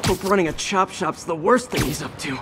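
A man's voice: high voice, brisk, noisy background. A man playing a young adult American superhero in a video game, wisecracking and earnest, often talking to himself. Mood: musing. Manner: quippy, self-talking. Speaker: game protagonist.

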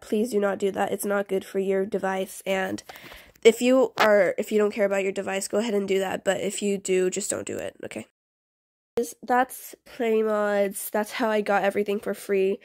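A young woman doing a casual, chatty house-tour voice-over for a game video, often interrupting herself in an informal way. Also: medium pitch. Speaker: video creator.

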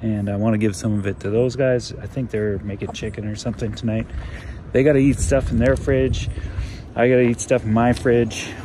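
A man's voice: mid-range voice, fairly even intonation, fairly quick. A man in his forties talking casually to a handheld camera, rambling and correcting himself as he vlogs from his van and campsite. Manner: rambling, self-correcting, chatty. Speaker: van life vlogger. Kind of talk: casual vlog talk to camera. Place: inside a van and outdoors at a lakeside campsite. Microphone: handheld camera at arm's length. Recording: noisy handheld camera audio with car sounds.

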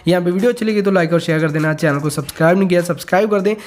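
A man's voice: high voice, racing. A man hosting a casual step-by-step tech tutorial, mixing English words and stock phrases into his speech.

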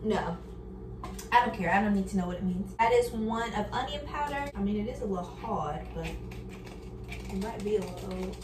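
A woman in her early twenties chatting casually and candidly to viewers as she cooks, with informal, slangy speech. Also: mid-range voice. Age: early 20s. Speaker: cooking vlogger. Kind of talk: casual chat to viewers while cooking. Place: home kitchen, indoors. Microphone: camera on counter, a few feet away. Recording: roomy, distant kitchen recording with background noise.

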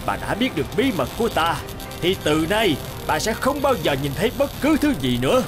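A man's voice: high-pitched, big pitch swings, a little fast, music in the background. A man playing a stern, vengeful fairy-tale figure in a cartoon, speaking in an accusing, menacing way. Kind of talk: animated fairy tale acting.

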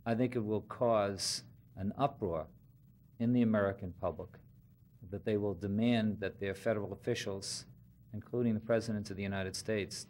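Deliberate middle-aged mayor. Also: male voice, on the low side. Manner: deliberate, many uhs and repetitions. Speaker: mayor speaking for city officials. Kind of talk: press conference statement. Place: indoor press room.

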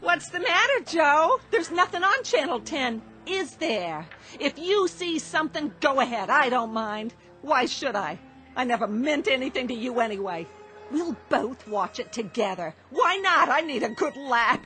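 Brief: a scornful middle-aged wife, higher voice that swoops and jabs, soft music under. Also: female voice, expressive, measured pace, heavily acted. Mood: mocking. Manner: sarcastic, scornful, biting. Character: bitter sharp-tongued middle-aged wife Phyllis. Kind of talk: radio drama acting.

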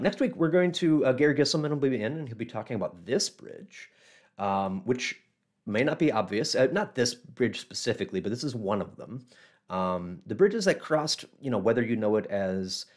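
A man in his thirties with a hoarse, scratchy voice from a cold, hosting a casual local history livestream from a small office on a webcam mic, rambling with many ums and restarts. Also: fairly low pitch.

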